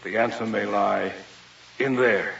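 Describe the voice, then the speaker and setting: Eager, proud radio-drama professor. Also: male voice, on the low side, fairly even intonation, unhurried, gravelly, fairly bright tone. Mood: measured. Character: eager, proud male professor, bold scientist. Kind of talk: old-time radio drama acting. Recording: muffled vintage radio, faint music.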